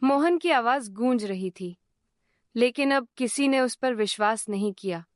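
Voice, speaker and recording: A woman tells a children's cartoon story in a slow, deliberate voice-over. Her voice sits a little high, and the recording is dry, with no room sound. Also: no room sound. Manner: calm, measured. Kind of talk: scripted storybook narration.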